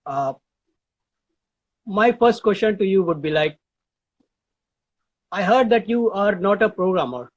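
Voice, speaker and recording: A man's voice: high-pitched, animated, unhurried, pauses often. A man hosting an interview over a video call, asking long hesitant questions with many fillers. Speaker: interviewer, host. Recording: dull lo-fi call audio.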